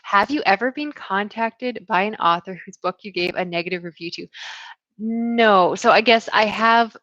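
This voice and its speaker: A woman in her thirties from Canada, hosting a casual livestream Q&A about books in a chatty, relaxed way with many fillers and soft laughs. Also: mid-range voice, normal speed, no echo, muffled recording. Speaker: booktube host.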